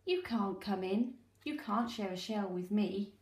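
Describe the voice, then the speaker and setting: A woman's voice: medium pitch, expressive, unhurried, darkish tone. Grumpy little crab, played by a woman, bossy, complaining, storybook style, roomy sound. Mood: dismissive.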